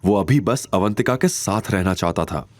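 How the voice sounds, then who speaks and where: A man's voice: sits low, lively intonation, normal speed, no room sound. A man reading scripted dramatic narration as the narrator of an audio story. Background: background music.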